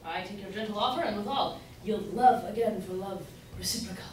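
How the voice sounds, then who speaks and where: A woman playing a silly, wordy, pompous gentleman suitor in a staged reading of a period comedy. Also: mid-range voice, brisk, lightly acted. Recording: echoey room from far off, faint noise.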